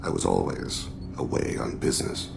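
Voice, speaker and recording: An actor playing a solemn, regretful alien assassin and father in a video game, speaking in measured, formal, introspective phrases with a gravelly voice. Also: noisy background, exaggerated voice. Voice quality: very deep, heavily gravelly. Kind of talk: video game dramatic dialogue.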